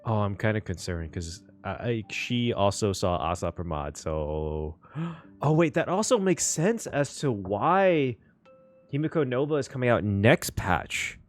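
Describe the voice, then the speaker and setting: A man hosting a casual reaction video on a game story, excitable and given to repeating phrases for emphasis. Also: lowish voice, a little slow, faint music behind.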